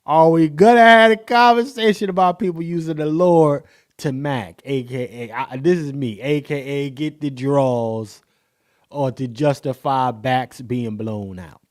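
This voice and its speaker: Slow-talking joking podcast host, a man. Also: medium pitch. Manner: laughing, joking, playful slang. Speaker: podcast host reacting to a clip. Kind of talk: casual reaction commentary.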